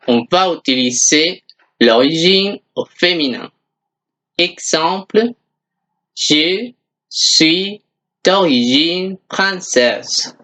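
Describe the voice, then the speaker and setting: A language teacher speaks at an extremely slow, careful pace, in a medium-pitched voice. The delivery is scripted and instructional, made for beginners, with example phrases said and then repeated. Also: sharp tone.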